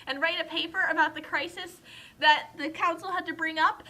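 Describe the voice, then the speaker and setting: Very high, bright young woman. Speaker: guest co-commentator. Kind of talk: casual chat, rambling anecdotes.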